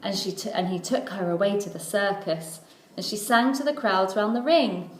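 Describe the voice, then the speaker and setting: Female primary teacher reading to children: mid-pitched, rhythmic storytelling, recorded in an echoey room. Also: normal speed, a little hiss or hum. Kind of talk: children's storybook read-aloud.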